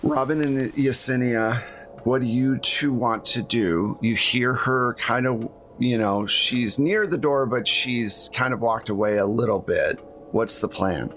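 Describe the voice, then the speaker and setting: A man's voice: medium pitch, measured pace, a bit bright, a little hiss or hum. A man narrating a tabletop roleplaying game as game master, in a casual, conversational style.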